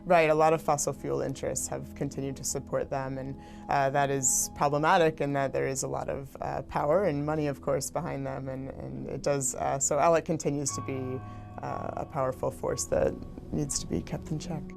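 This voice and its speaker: A woman answering interview questions off the cuff, with halting, hesitant phrasing. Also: rumbling low, flat delivery, gravelly, a little mellow. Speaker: interview guest. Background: guitar music.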